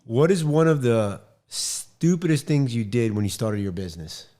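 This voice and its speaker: Middle-aged male podcast host, upbeat and joking, quick to chime in, close studio mic, home studio. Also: mid-range voice, animated, mellow. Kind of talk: casual interview podcast. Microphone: studio mic on boom arm, headphones.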